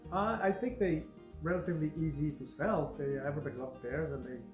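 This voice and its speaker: A man's voice: on the high side, fairly quick, music in the background. Hesitant man with Polish-Boston accent. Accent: Polish mixed with Boston. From New Hampshire. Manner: hesitant, many fillers, easygoing. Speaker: carving student. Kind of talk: casual interview answers.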